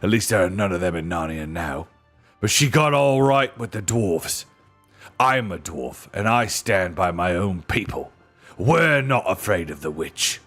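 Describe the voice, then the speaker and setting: Storybook dwarf, male reader: cold, proud, combative, sneering, lively rise and fall. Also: deep voice, a little slow, soft background music, heavily acted. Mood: cold defiance. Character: bitter, defiant male dwarf, cold and quarrelsome.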